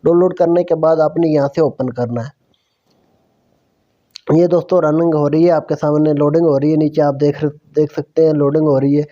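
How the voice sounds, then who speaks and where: A man's voice: on the high side, fairly even intonation, moderate pace, warm tone, highs cut off. A man narrating a casual app tutorial, explaining step by step in a repetitive way. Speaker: tutorial host.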